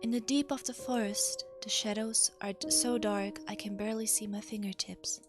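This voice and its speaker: A woman's voice: medium pitch, fairly even intonation, moderate pace. A woman streaming a game, chatting casually and reading story text aloud, often hesitant and interrupting herself. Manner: hesitant, self-interrupting, soft exclamations. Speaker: VTuber streamer. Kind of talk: casual game livestream chat with read-aloud visual novel text. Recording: music playing behind the voice. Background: soft piano music.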